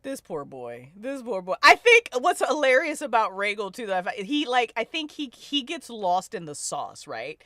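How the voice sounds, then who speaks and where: Female panel host, slangy and emphatic, highish and very lively in pitch, close dry sound. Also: moderate pace, hardly any pauses, no echo. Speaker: host leading a fan discussion panel. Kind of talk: casual panel chat about a fiction podcast.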